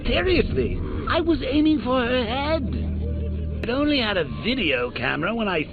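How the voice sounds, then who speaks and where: An actor playing a manic, sadistic male clown supervillain in a video game, with a gravelly, mocking, theatrical delivery. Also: extremely high pitch, sing-song, moderate pace, exaggerated voice. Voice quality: gravelly, mocking, swooping sing-song delivery. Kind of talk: video game villain voice lines. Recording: tinny lo-fi game audio with music.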